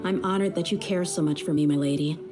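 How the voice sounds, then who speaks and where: A woman's voice: lowish voice, fast talker, close to an everyday voice. A woman playing a tough, easygoing young mercenary in a video game, frank and self-assured. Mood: reassuring, confident. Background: background music.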